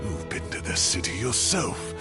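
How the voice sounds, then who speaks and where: A man's voice: lowish voice, huge pitch swings, moderate pace, over music. An actor playing a remorseful older male professor who speaks in an earnest, pleading way, in a game cutscene.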